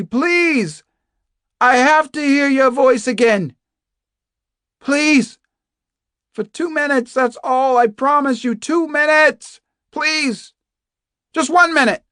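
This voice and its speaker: A woman voicing a defiant captive young woman doctor in an audiobook, shouting insistently and pleading in a strong, strained voice. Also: sits high, takes it slowly, pauses often, dry recording, clean studio sound, voice pushed up. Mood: urgent pleading. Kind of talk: dramatized audiobook dialogue.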